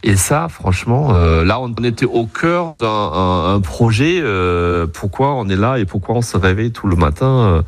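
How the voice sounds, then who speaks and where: A man's voice: fairly low pitch, expressive, moderate pace, few pauses. A middle-aged man from Germany, a native German speaker, recalling his work as a park director in French with a German accent. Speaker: regional nature park director. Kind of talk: recorded interview, recollecting.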